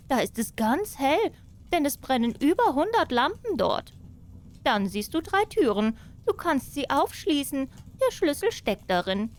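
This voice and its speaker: A woman voicing a sly old witch in a storybook tale, coaxing and deliberate. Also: a little high.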